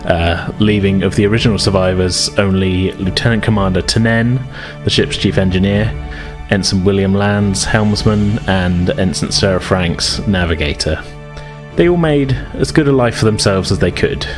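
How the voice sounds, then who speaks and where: A man acting as game master, narrating a tabletop roleplaying game in a conversational, descriptive way. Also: deep voice.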